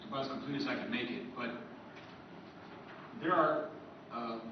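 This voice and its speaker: A man's voice: medium pitch, moderate pace. Man lecturing in an echoey hall. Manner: rambling, listing items, friendly asides to the audience. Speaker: author giving a convention talk. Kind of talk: informal lecture to a live audience. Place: convention meeting room. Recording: distant echoey room mic, muffled with background hiss.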